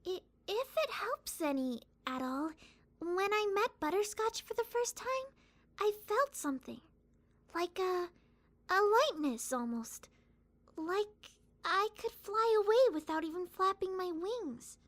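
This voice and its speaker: A woman voicing a timid, gentle young female pony in a dramatised story, hesitant and shy with stammers. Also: extremely high pitch, lively intonation, a little slow, full character voice. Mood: wistful. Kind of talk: dramatised fan fiction reading.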